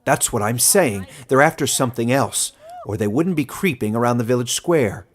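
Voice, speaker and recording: A man's voice: medium pitch, animated, normal speed, slight background noise, close to an everyday voice. A man voicing a grizzled, suspicious old village man in a fantasy story, speaking in an emphatic, warning way. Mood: insistent.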